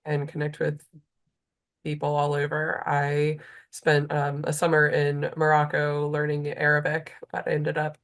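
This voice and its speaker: Female interviewer, notably low-pitched voice, casual conversational delivery. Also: completely flat delivery, a little slow. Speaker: interviewer, host.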